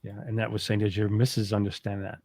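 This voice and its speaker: A man's voice: fairly low pitch, monotone, quick pace, dark tone, dry recording. Male tutorial co-host, casual, tentative step-by-step talk, close dry sound. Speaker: co-host demonstrating software. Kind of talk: casual live tutorial walkthrough.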